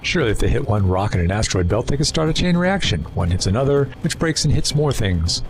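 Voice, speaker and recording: An actor in an audio drama playing a suave, erudite renegade Time Lord villain, wordy, condescending and persuasive. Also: male voice, lowish voice, very animated, fast, background noise. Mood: sly, probing. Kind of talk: full-cast audio drama acting.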